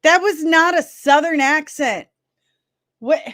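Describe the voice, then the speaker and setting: A woman's voice: sits high, slow pace, a bit bright, no echo, studio quality. A woman from St. Louis with a Midwestern accent, hosting a casual pop-culture commentary show, laughing and sarcastic as she reacts to clips. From St. Louis, Missouri. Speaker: host of a daily pop-culture news show.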